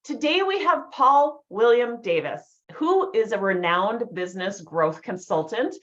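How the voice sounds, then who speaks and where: A woman's voice: a little high, animated, measured pace, fairly bright tone. A woman hosts a podcast, reading out her guest's introduction and then chatting eagerly. Her audio sounds a little dull and muffled. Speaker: podcast host. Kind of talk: scripted introduction, then casual interview chat.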